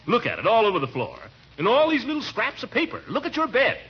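An actor playing a harried, grumbling middle-aged American uncle in theatrical, exasperated 1940s radio comedy style. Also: male voice, extremely high pitch, wildly swooping pitch, quick pace, husky, exaggerated voice. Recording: dull, noisy old radio recording.

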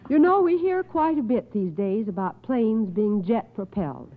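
A woman's voice: mid-range voice, lively intonation, a little slow. A woman hosting a 1950s radio quiz show in an encouraging manner. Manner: encouraging, affirming answers. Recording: tinny, band-limited 1950s radio recording.

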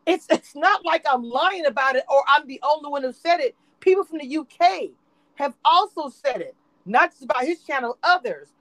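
Woman host, passionate and emphatic, repeats herself, fairly high voice, dry close sound. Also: lively intonation, moderate pace, fairly bright tone, no echo, poor audio. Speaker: show reviewer and host. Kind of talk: live online discussion.

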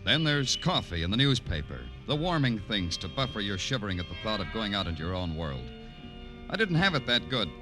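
A low-pitched police detective narrates in brooding, clipped noir poetry, weary and hardboiled. It is an old radio drama recording with music swelling behind the voice. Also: male voice, sing-song, brisk. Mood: businesslike, somber. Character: weary hardboiled New York police detective, grimly poetic. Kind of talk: 1950s radio noir acting.

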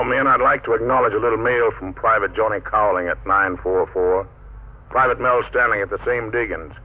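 A man hosting a wartime radio variety show, announcing in a formal, measured manner. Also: mid-range voice. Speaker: radio host. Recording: tinny, noisy 1940s radio recording.

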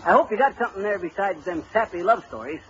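Give this to a bumbling, bragging husband from a vintage radio comedy. His pitch sits high and leaps about animatedly as he spins folksy yarns in mangled grammar. The old recording is muffled. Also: lively intonation, quick pace, fairly bright tone. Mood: sheepish, joking. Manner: folksy, bungling, chuckling braggart. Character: folksy blustering husband telling tall tales, grammar-mangling bluffer.

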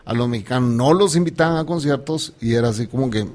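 A man's voice: mid-range voice, fast. Guatemalan man, swearing casual chat. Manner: animated, swears freely, mixes in English. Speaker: podcast co-host.

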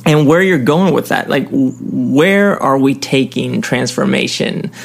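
A man's voice: mid-range voice, measured pace, creaky. A man hosting an interview, asking curious questions and restating what his guest says. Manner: curious, rephrasing questions with fillers. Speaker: podcast host, interviewer. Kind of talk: conversational interview.

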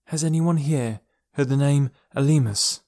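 A man's voice: a little high, measured pace, voice pushed up. A man voicing a passionate woman who leads with authority, as an audiobook character. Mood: grave, soft. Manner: frustrated, challenging, speaking with quiet authority. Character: passionate, commanding woman leader of forest villagers.

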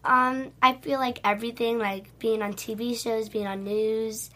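A woman's voice: a little high, level tone, unhurried, fairly bright tone. A teenage girl giving short, casual answers as an interview guest, youthful and loosely phrased. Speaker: young dancer, interview guest. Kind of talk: casual chat.

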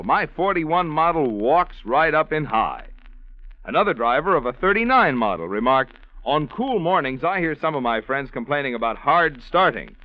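A man's voice: medium pitch, no echo. A man reading a 1940s radio commercial as an announcer, emphatic and salesmanlike. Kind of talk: 1940s radio advertising read. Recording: dry, muffled old radio recording.

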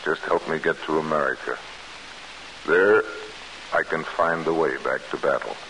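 A man's voice: a bass voice, moderate pace, sharp tone, a little hiss or hum, exaggerated voice, poor audio. An actor playing a grim, determined escaped prisoner telling his story in 1940s radio drama style. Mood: earnest, resolute. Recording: old 1940s radio broadcast, music behind.

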